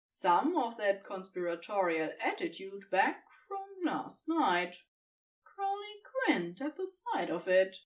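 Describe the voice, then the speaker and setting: Slow, lilting woman narrator, slightly distant. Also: fairly high pitch. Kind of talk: scripted fan fiction narration.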